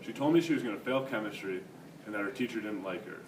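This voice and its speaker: A man's voice: on the low side, expressive, quick pace. A man playing a student witness who gives matter-of-fact testimony. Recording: echoey, noisy room, distant mic.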